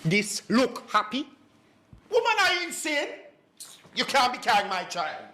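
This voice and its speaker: A flustered, evasive Jamaican businessman in a comic stage play. He stammers with exasperated indignation, his pitch leaping about. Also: wildly swooping pitch, measured pace, sharp tone, full character voice. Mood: stunned, evasive. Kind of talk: comedic stage play acting.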